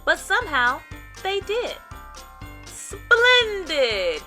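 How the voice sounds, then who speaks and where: A woman narrating a children's storybook in an expressive read-aloud style with deliberate phrasing. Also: high-pitched, soft background music.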